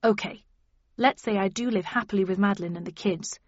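A woman's voice: mid-range voice, fairly quick, no room sound, highs cut off, natural voice. A woman voicing a brooding, conflicted male detective in an audiobook, earnest and protesting. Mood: agitated, protesting.

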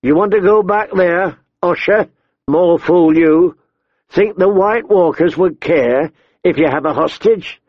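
A man voicing a big, gruff male outlaw who taunts and threatens in an audiobook story. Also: high-pitched, unhurried, no echo, lo-fi sound, voice pushed up. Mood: angry, scornful.

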